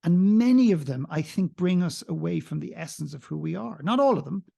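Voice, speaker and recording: An Irish man in his forties talks earnestly, his voice gravelly with a husky grain. He is a guest on a video call, speaking into a desk mic from a room at home. He is animated when telling stories. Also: a little high, fairly quick. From Ireland. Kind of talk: reflective interview conversation. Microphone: desk condenser mic, video call.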